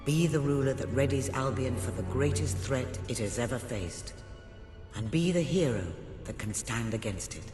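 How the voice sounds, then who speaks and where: A solemn mentor figure from a fantasy game acted by a man delivers grave, cryptic counsel to a monarch. The voice rings with echo and long reverb, with music playing clearly behind it. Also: medium pitch, moderate pace, darkish tone, lightly acted. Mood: stern, foreboding. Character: solemn, cryptic mentor prophesying doom to a new king. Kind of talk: dramatic video game cutscene dialogue.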